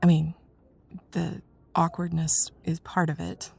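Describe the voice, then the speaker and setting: A woman playing a young student in love with her best friend, in an intimate ASMR roleplay, speaking softly and hesitantly, often near a whisper. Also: sits low, monotone, slow, exaggerated voice. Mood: wounded, candid. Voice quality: soft breathy, husky, often near whisper. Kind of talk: intimate ASMR roleplay, one side of a dialogue.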